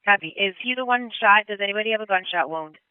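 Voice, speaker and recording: A male police dispatcher speaking over radio traffic in a calm, clipped way, repeating back information. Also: high-pitched, fast talker, smooth, no echo, narrow, tinny audio. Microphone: dispatch console radio.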